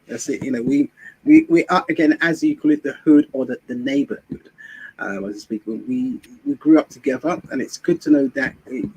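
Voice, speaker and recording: A man who co-founded the event speaks as a guest, rambling and halting with many fillers. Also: mid-range voice, creaky, a little mellow, husky, poor audio. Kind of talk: casual interview.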